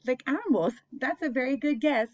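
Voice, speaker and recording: A woman's voice: sits high, brisk, highs cut off. A woman hosting an interactive picture-book read-aloud for young children, asking them questions and encouraging them as she goes.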